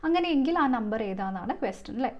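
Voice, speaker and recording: A Malayali woman with a rather high voice teaches in a methodical, step-by-step way. She poses questions and checks in as she goes, mixing in English phrases for the technical parts. The recording is a little dull and lo-fi. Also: lively intonation, fast talker. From Kerala, India. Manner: step-by-step, frequent rhetorical questions and check-in tags; switches often into English terms and phrases. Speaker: exam coaching teacher. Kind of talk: explanatory lesson, working through maths problems.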